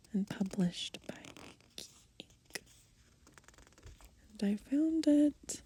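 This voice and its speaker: A woman whispering softly and slowly in a gentle ASMR style, pausing between short phrases as she reads and browses through a book. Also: medium pitch. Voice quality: breathy, mostly unvoiced whisper. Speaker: ASMR host. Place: outdoors, lying in grass in the countryside.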